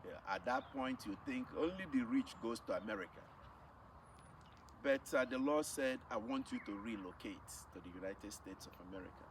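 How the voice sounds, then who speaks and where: A man's voice: medium pitch, moderate pace. Nigerian missionary man, recorded from a distance. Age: middle-aged. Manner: emphatic, repeats phrases for effect. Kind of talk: personal testimony, storytelling to camera. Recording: distant mic with faint background noise.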